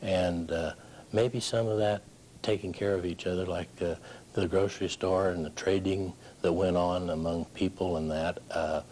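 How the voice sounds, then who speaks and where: A man giving an informal, reflective interview recollection, pausing and searching for words. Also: low voice, fairly even intonation, darkish tone, a little hiss or hum. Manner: halting, reflective. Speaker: documentary interviewee.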